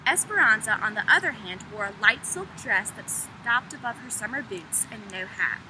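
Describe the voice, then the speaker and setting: A woman's voice: fairly high pitch, animated, normal speed, bright, forceful tone. A woman teacher reading a story aloud to children, speaking to her young listeners directly, recorded outdoors. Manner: addresses young listeners with questions and prompts. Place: outdoors in the open. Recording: noisy windy outdoor recording with traffic.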